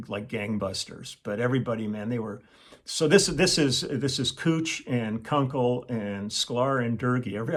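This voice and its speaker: An older man, a session musician, reminiscing in a casual, rambling monologue full of restarts and quoted asides. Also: mid-range voice. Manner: rambling, self-interrupting, with chuckles and quoted asides. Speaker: session musician hosting his own channel.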